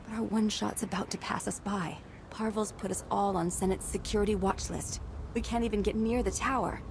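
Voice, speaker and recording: A woman's voice: medium pitch, fairly even intonation, brisk, vocal fry, background noise, natural voice. A woman playing an earnest, secretive ex-military diplomat and zealous patriot in a video game, speaking persuasively and solemnly. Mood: grave, urgent. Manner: persuasive, solemn, hushed at times.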